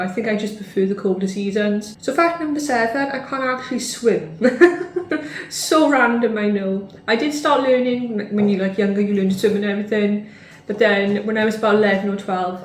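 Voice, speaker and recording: A woman's voice: medium pitch, echoey room. A woman in her thirties with a Welsh accent, chatting casually to camera at home in a rambling way with lots of fillers. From Wales. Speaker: vlogger.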